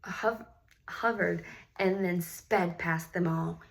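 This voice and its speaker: A woman reads a children's story at an unhurried pace, with noticeable vocal fry. She sounds like an encouraging teacher, stopping often to ask the listeners questions. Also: lowish voice, steady tone. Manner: encouraging, teacherly, pausing and gasping theatrically. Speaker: read-aloud host, teacher-like reader. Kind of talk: children's picture book read-aloud with questions to the listeners.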